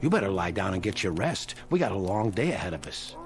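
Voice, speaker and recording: An actor playing a sadistic, greedy thief in a radio drama, with mocking, folksy, slangy taunts. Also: male voice, sits low, big pitch swings, fast talker. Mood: cynical. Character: sadistic, greedy male thief taunting his weaker partner.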